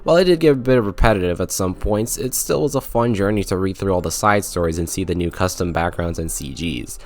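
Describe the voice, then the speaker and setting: A man's voice: low voice, fairly quick, darkish tone. A man narrating a scripted video game review in a wry, sarcastic way.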